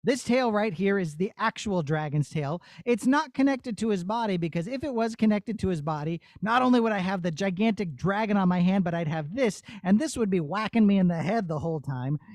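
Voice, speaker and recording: A man's voice: sits high, lively intonation, brisk, few pauses, a little mellow, dry recording. A man presenting as a puppeteer to children, explaining step by step in simple, child-friendly words. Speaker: puppeteer presenting his show. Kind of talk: demonstration and Q&A for children. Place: puppet theatre studio.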